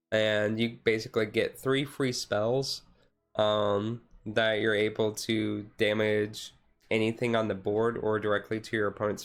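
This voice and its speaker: A man streamer chatting casually in a relaxed, halting, rambling way, thinking aloud as he goes. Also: on the low side. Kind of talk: relaxed casual chat about a card game, ASMR-style stream. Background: music playing softly behind the voice.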